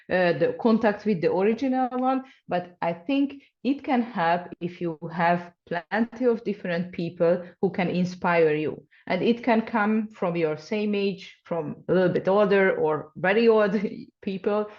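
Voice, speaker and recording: Hungarian-accented woman on a muffled call. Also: lowish voice. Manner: earnest, searching for words in English. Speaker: guest scientist, associate professor. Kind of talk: casual interview answers.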